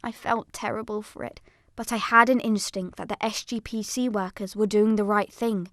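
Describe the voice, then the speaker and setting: A woman's voice: mid-range voice, steady tone, normal speed, fairly bright tone, no echo, studio quality, close to an everyday voice. A woman playing a young girl survivor, giving dramatised first-person narration of an attack. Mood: sombre recounting.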